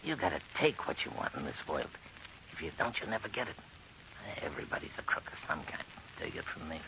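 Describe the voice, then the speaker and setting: Callous tough-guy burglar, low gravelly voice, slangy and pushy, old radio thriller. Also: animated, fast talker. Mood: hushed, impatient. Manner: slangy tough-guy talk, wheedling and bullying. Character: brash, callous male burglar, pushy and scornful. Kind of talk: old-time radio horror drama acting. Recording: muffled, noisy old radio recording.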